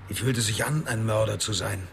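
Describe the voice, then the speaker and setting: Gravelly, scornful gangster man. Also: lowish voice, a little fast, a little hiss or hum. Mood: probing, incredulous. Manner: terse, scornful, probing. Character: hard-boiled male gangster, sceptical, blunt and scornful. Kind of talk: radio drama dialogue.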